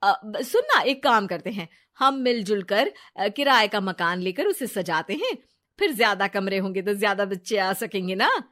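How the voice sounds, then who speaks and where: A woman's voice: mid-range voice, expressive, normal speed, fairly bright tone, natural voice. A woman voicing a scheming, deceitful young female friend in a brash, wheedling story-narration style. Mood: eager, scheming. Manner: brash, wheedling, fake sobbing.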